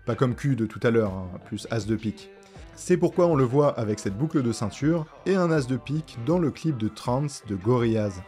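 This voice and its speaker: Male video essayist, soft-edged mellow tone, informal direct address, light music underneath. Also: medium pitch, lively intonation, normal speed. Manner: informal, rhetorical questions, direct address to viewers. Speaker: host of a cartoon video essay channel. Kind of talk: conversational scripted video essay narration. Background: background music.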